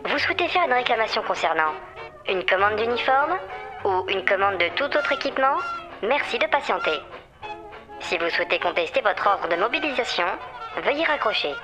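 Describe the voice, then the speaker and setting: A woman playing an unhelpful, teasing military complaints-line operator in a comic audio drama, with a bureaucratic, deadpan delivery. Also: mid-range voice, a little fast, music in the background, close to an everyday voice, poor audio. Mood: officious. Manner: bureaucratic, sing-song switchboard recital, deadpan.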